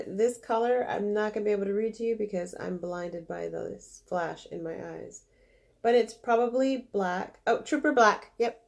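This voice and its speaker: Stuffy-nosed woman, casual beauty vlogger, gushing and chatty. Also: mid-range voice. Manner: chatty, gushing, easily disappointed. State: congested. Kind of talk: casual unboxing chat.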